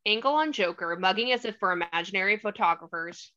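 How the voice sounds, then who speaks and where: A woman's voice: mid-range voice, animated, quick pace, bright, forceful tone. A woman reading stage directions as the narrator of a screenplay table read. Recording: slightly dull lo-fi audio.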